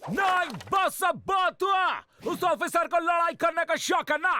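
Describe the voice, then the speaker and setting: Shrill feisty little cartoon man. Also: measured pace, pushed and bright, slight background noise, full character voice. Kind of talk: Hindi cartoon dub.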